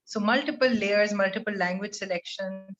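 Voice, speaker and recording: Woman, 30s, calm clear explaining over webcam, kinda muffled call audio. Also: mid-range voice. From Pakistan. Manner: measured, articulate, steady explaining. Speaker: school program leader, interview guest. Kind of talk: video call interview. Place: room at home. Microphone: webcam on video call.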